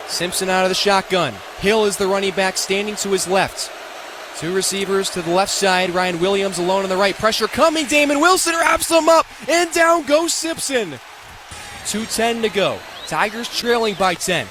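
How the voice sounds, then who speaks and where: A young American man calling live football on the radio as play-by-play announcer, in punchy, clipped, excitable phrases. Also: high-pitched, normal speed. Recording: live stadium ambience noise.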